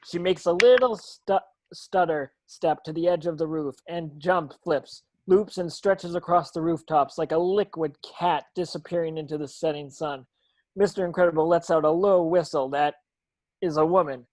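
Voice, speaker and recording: Fairly high-voiced man narrating a script. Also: normal speed, darkish tone. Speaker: narrator reading stage directions. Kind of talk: scripted narration at a table read.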